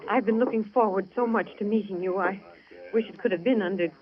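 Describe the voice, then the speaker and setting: A woman's voice: medium pitch, brisk, a crowd in the background, natural voice. An actress playing an anxious middle-aged mother-in-law in a 1940s radio drama, hesitant, apologetic and stammering. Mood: flustered, polite. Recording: muffled old radio recording.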